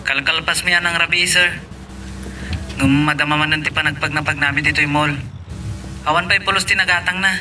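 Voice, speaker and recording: A deferential driver in a Filipino radio drama. His voice is very bright and forceful, fairly high, and slightly distant from the mic. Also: fairly even intonation, brisk, long pauses, soft background music. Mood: deferential, wary. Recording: off-mic, faint car noise.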